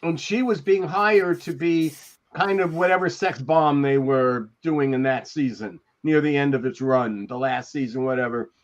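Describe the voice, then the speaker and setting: An older man reminiscing on a podcast panel in a halting, digressive, emphatic way, speaking from home over a video call. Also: mid-range voice. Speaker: podcast host, former TV producer. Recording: dull, muffled video call audio.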